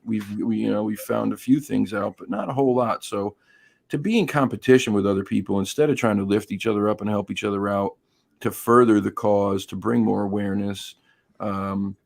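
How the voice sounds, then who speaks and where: A hoarse man hosting a casual live stream, chatty and greeting viewers by name. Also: fairly low pitch, darkish tone. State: hoarse, losing his voice.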